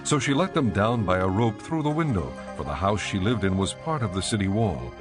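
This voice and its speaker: A man narrating scripture from a script in a measured, formal way. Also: on the low side, lively intonation. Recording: music under the voice.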